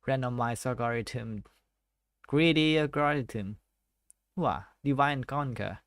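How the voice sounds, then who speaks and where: A Thai-accented man works slowly through technical notes and reads passages aloud between hesitant asides. His voice has a gravelly edge, and the recording is dry and close, with no room sound. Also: mid-range voice, fairly bright tone, no echo. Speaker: tech study vlogger. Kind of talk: casual self-study screen walkthrough.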